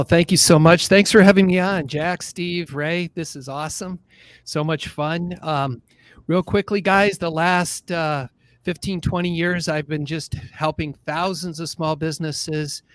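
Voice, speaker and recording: A man's voice: a little high, dry recording. A man in his fifties, a guest on a podcast, telling his story in an upbeat, self-deprecating way. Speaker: guest, business association president. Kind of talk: casual interview storytelling.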